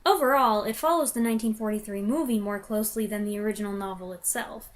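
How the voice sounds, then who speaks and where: A woman's voice: medium pitch, fast. A woman giving a conversational review, opinionated and given to wry asides.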